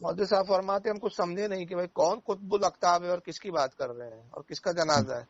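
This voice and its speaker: A man's voice: high voice, fast. A man speaking as an Islamic cleric on a phone call, explaining religious matters and anecdotes in an emphatic way, repeating key phrases. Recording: dull, dry phone call recording.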